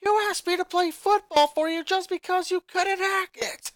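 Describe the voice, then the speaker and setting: A man's voice: extremely high pitch, fairly even intonation, moderate pace, fairly bright tone, dry recording, clean studio sound. A man voicing a defiant thirteen-year-old sporty girl who mocks back in a fanfic reading. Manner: defiant, mocking.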